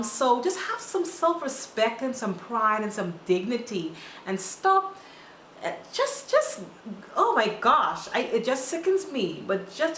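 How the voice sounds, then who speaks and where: Woman, Bahamian accent, young adult, emphatic and scolding, raised pitch, echoey room with faint hiss. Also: a bit bright. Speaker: podcast co-host. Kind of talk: casual opinion chat.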